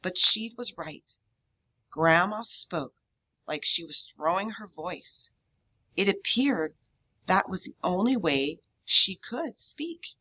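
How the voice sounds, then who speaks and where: A woman reading a children's novel aloud in an expressive storybook narration style, on a dull, lo-fi recording. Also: lowish voice, slow, husky. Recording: dull, lo-fi sound.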